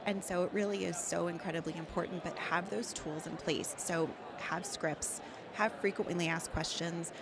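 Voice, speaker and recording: A woman's voice: lowish voice, steady tone, moderate pace, hardly any pauses. A woman speaking as an expert guest in an interview, giving emphatic, instructive advice in a conversational way. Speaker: practice management consultant and guest speaker. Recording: clear background noise, urban ambience.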